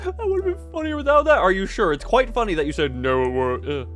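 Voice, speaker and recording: A man hosts a casual livestream in a slightly high voice that moves around a lot in pitch. He talks to his viewers playfully, slipping into mock drama. Faint music plays behind him. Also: sing-song, a little fast, a bit bright. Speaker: livestream host.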